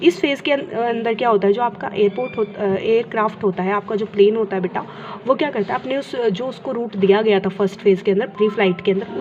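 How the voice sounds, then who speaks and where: A woman teaching a school lesson, explaining step by step and asking questions that she answers herself. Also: fairly high pitch. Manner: teacherly, asks and answers own questions, mixes in English terms. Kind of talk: explanatory lesson, reading and paraphrasing textbook. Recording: dull lo-fi with clear background noise.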